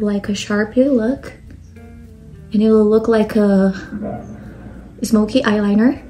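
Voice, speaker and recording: A woman's voice: medium pitch, mellow, poor audio. Brazilian woman explaining a makeup routine. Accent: Brazilian Portuguese. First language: Portuguese. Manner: step-by-step explaining, frequent "like" fillers. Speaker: makeup vlogger. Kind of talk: makeup tutorial talk.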